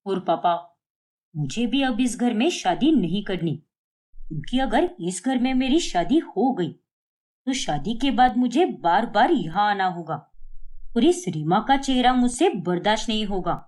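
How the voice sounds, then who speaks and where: Lady as worried young groom guy in a cartoon story, plaintive, earnest, expressive pitch. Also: measured pace. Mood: resentful. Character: anxious young bridegroom, proud but obedient to father.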